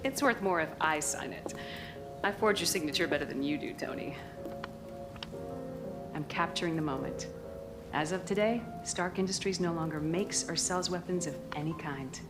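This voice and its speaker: A woman's voice: on the low side, moderate pace, lightly acted. An actress playing a dry, teasing female assistant and partner in a video game. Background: loud game music.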